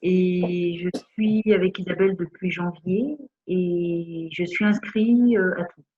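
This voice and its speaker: A woman's voice: lowish voice, fairly even intonation, slow. A woman from northern France, a student in an online art course, chatting in an enthusiastic, talkative way on a group call. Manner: talkative, enthusiastic, repeats herself. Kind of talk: casual group video call. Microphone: video call. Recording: dull lo-fi call audio.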